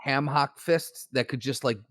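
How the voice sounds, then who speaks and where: A man's voice: medium pitch, a little slow, no echo, studio quality. A man co-hosting a podcast, chatting casually, swearing freely and joking as he argues a point. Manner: profane, animated, joking. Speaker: podcast co-host. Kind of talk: casual chat.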